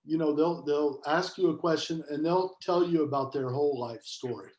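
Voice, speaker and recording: A man, a missionary priest, telling stories in a relaxed way on a video call. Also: on the high side, normal speed, roomy sound, muffled recording. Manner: relaxed anecdotal storytelling. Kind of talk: casual conversation on a video call.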